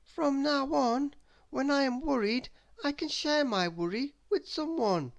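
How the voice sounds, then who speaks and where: Woman doing fretful high-voiced Mister Worry. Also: a little slow, vocal fry. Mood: excited, fretful.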